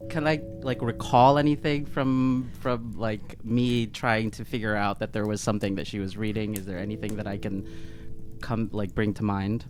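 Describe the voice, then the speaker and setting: A man playing a tabletop roleplaying game, talking casually in halting, hesitant phrases. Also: on the low side, flat delivery, a little mellow, soft background music. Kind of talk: casual chat, in-game discussion.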